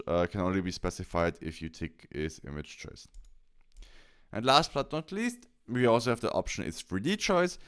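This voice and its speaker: Low, smooth-voiced man explaining software. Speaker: tutorial presenter. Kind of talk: software tutorial screencast, explaining step by step.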